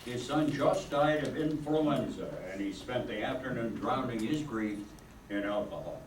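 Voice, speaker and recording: A man's voice: medium pitch, unhurried, close to an everyday voice. An older man playing a kindly senior angel who narrates and gives orders in a radio play. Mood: storytelling. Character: kindly senior male angel, storytelling and in charge. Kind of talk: old-time radio play acting, narrating. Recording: echoey, distant, noisy stage recording.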